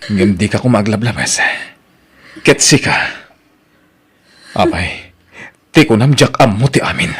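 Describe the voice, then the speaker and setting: A man's voice: fairly low pitch, animated, measured pace, pauses often, a bit bright, slightly rough, full character voice. A man acting the part of an emotional, pleading husband and father in an Ilocano radio drama, with an Ilocano accent. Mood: hurt, angry. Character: weary, wronged Ilocano husband and worried father.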